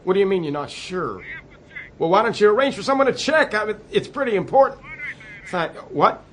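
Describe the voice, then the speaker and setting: Flustered, high-voiced American President. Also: male voice, animated, a bit bright, a little hiss or hum. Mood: anxious, exasperated. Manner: halting, polite, stammering understatement. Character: flustered, placating US President on a hotline call. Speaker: actor in a radio play. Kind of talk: satirical radio comedy drama.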